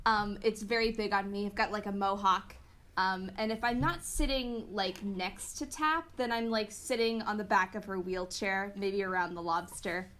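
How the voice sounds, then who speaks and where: A woman's voice: medium pitch, fairly even intonation, normal speed, a bit bright. A woman playing a tabletop game, casually improvising a description of her character.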